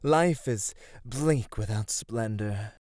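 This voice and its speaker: A man's voice: on the low side, takes it slowly, a little mellow, no echo, clean studio sound. A man voicing a sly, flirty immortal god of beauty in an ASMR roleplay, teasing and coaxing without hurry. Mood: pleased, coaxing.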